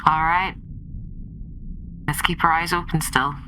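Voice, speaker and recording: Lady as a calm starship commander, low bright voice, in charge, space rpg, faint noise. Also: unhurried. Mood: calm, decisive. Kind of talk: improvised tabletop sci-fi roleplay.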